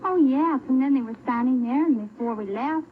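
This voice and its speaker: A woman's voice: high-pitched, a little fast, narrow, tinny audio. A young woman from Canada answering questions as a giggly contestant on an old-time radio show, heard on a thin, noisy vintage broadcast. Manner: giggly, retells with quoted dialogue. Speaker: stunt contestant.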